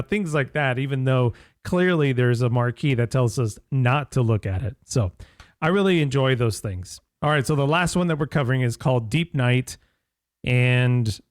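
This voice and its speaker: A man hosting a reaction video, giving casual commentary with muttered asides, quick exclamations and chuckles. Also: medium pitch, no room sound, clean studio sound.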